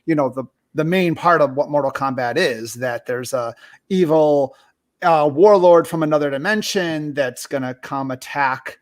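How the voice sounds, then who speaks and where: A man, likely middle-aged, hosting a casual movie discussion, talking haltingly and often rephrasing himself. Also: fairly high pitch. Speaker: host of a movie review show.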